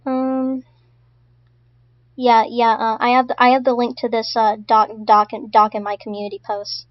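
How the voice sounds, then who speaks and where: A woman's voice: a little high, little pitch movement, normal speed, dry recording, highs cut off. A woman streaming casually to her chat, stuttering often and repeating her words. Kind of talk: casual live-stream commentary.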